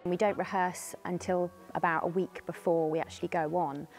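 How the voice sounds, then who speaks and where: A woman's voice: lowish voice, fairly even intonation, moderate pace, a little mellow. A woman in her thirties talking casually in an interview as a stage actress. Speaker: pantomime actress. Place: indoor theatre bar room. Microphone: lapel mic. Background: faint music.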